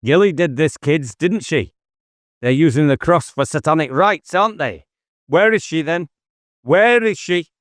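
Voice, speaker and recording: A man's voice: fairly high pitch, expressive, a little slow, no room sound, clean studio sound, pitched above the performer's own voice. A man voicing an intense, driven police officer in an audiobook, with an urgent, insistent manner. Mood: urgent, demanding.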